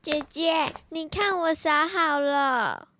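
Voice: high-pitched, slow, bright, forceful tone, slight background noise, exaggerated voice. A child acting in a children's radio drama as a clingy, gullible little brother, eager and childlike.

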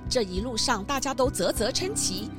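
A woman narrating a children's audio story in an expressive storytelling style. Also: on the high side, moderate pace, over loud music.

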